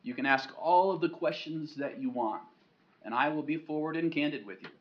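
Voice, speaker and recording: A man's voice: fairly high pitch, moderate pace, slight background noise. A man, a county commissioner, earnestly inviting residents to talk to him, growing emphatic. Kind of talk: official remarks at a county meeting. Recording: dull lo-fi meeting recording.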